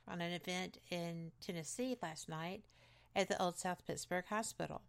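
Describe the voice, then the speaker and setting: A woman radio host, hoarse and raspy, chatting enthusiastically with many restarts. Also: fairly low pitch. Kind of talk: casual radio talk.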